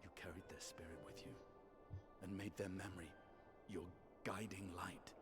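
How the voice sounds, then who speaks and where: A man voicing a stoic but sincere fantasy warrior in a game, grave and deliberate in delivery. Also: deep voice, steady tone, over loud music, lightly acted. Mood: solemn, resolute. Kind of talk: dramatic game dialogue.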